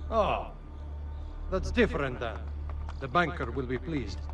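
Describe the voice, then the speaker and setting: A man's voice: medium pitch, sing-song, measured pace. An actor playing a menacing, mocking henchman with an Italian accent in a video game cutscene. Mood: smug menace. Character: menacing, mocking male henchman, a thuggish collector. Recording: music behind the voice.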